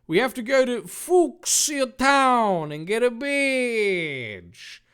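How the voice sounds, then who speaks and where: An eager young hero announces the next stop in a high, emphatic voice, as a man puts him on. He draws out each word slowly. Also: very animated, fairly bright tone, clear, resonant tone, full character voice. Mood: excited.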